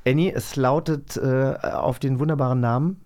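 A man's voice: mid-range voice, steady tone, normal speed, darkish tone. A man chatting casually and interviewing as a podcast co-host, with a joking, self-mocking manner.

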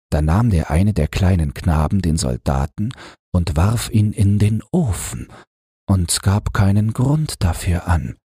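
A man with a very deep, breathy voice reads a fairy tale slowly and soothingly. His delivery is unhurried and gentle, in a dry, close-miked sound. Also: dry recording. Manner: slow, soothing and even. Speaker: audiobook reader. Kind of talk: calm bedtime story narration.

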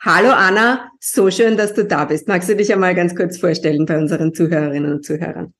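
A woman's voice: lowish voice, brisk. A woman, hosting a podcast and asking her guest encouraging questions. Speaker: podcast host, linguist. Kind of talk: podcast interview.

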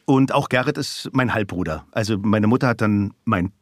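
A man's voice: fairly low pitch, brisk, no echo, clean studio sound. A man in his fifties from Germany, a guest on a talk show, telling a personal story openly with many fillers. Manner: open, relaxed storytelling with frequent fillers. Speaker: podcast guest, voice actor. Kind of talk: casual interview chat.

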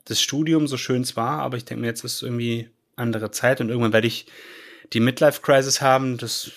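A man in his thirties who officiates weddings, talking in a relaxed, rambling way on a podcast. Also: mid-range voice, fairly quick. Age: 30s. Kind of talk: casual chat.

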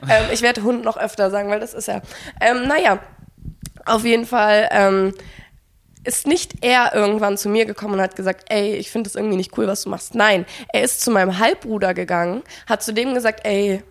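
A young woman rattles through a personal story at a quick clip, her voice at an ordinary middle pitch. She slips into the quoted lines of the people in her story as she tells it, with plenty of fillers along the way. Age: young adult. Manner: fast, animated, acts out quoted dialogue, frequent fillers. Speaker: podcast co-host. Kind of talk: casual storytelling chat.

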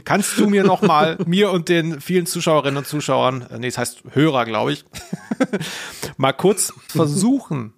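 A man's voice: on the high side, expressive, measured pace, gravelly. A man co-hosting a podcast, casually analysing a story in a wry, wordy and searching way. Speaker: podcast co-host. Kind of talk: casual, humorous discussion of an audio drama.

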